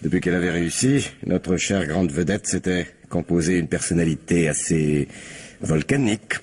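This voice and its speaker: An actor playing an aloof, sardonic film assistant under questioning in a French radio crime drama, guarded and dryly disdainful. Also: male voice, sits low, moderate pace, a little mellow, breathy edge. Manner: hesitant recall, dry disdain.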